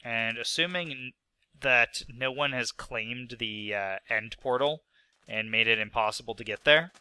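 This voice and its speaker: A man's voice: medium pitch, pushed and bright, clean tone. A young man with a stuffy nose, sick, talking through his gameplay casually with rambling asides and fillers. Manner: rambling, self-mocking, lots of fillers. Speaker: gaming video host.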